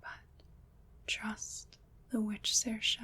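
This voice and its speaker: A woman playing a gentle, soothing witch in an ASMR roleplay, whispering softly and breathily with tender, formal, old-fashioned phrasing. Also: medium pitch, level tone, extremely slow, husky, heavily acted. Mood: reassuring, tender. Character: gentle, soothing female witch, caring and slightly mysterious.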